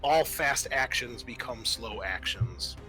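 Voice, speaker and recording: A man's voice: mid-range voice, expressive, takes it slowly, sharp tone, poor audio. A man narrating a tabletop roleplaying game as game master, informal and thinking aloud as he goes. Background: music.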